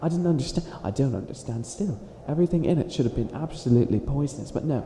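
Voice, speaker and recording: A man's voice: medium pitch, expressive, fast, warm tone, natural voice. A man playing a theatrical, flustered would-be evil witch in a comedic ASMR roleplay, stammering and stretching words for dramatic emphasis. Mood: indignant, flustered. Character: flustered self-styled evil witch, hopelessly helpful, theatrical. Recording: echoey room with audible background noise.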